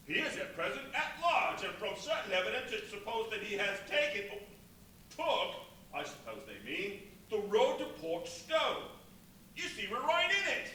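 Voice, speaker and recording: Folksy mariner, radio play, swooping excitable delivery, gullible rambler, very echoey and distant live hall. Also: male voice, high voice, full character voice. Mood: uneasy, excited. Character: chatty, gullible working-class mariner, indignant when crossed. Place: live stage performance in a brewery venue. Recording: echoey, noisy live venue, far from mic.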